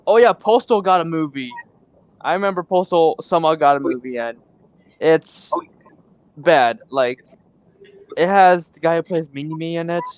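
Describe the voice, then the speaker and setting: A man with a fairly high, smooth, clear voice speaks carefully in casual podcast chat. The sound is dry and close, a little muffled. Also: moderate pace, pauses often, no room sound. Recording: dry, slightly muffled mic.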